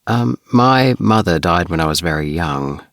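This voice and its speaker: A man voicing a guarded, thoughtful young spaceman in a storybook, speaking haltingly and carefully. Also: sits low, animated, gravelly, a bit bright, dry recording, studio quality, full character voice. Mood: guarded, sad.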